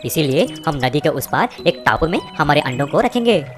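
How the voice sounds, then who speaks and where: A worried mother tortoise from a kids' cartoon, voiced by a woman. She speaks politely and anxiously in a lowish voice. Music plays underneath. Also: big pitch swings, a little fast. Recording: music bed behind.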